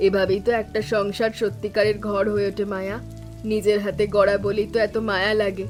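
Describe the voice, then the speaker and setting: A woman playing a caring elder sister-in-law in an animated family drama, speaking in a reassuring, gently instructing manner. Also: medium pitch, brisk, lightly acted. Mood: affectionate. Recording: music bed with rain sounds.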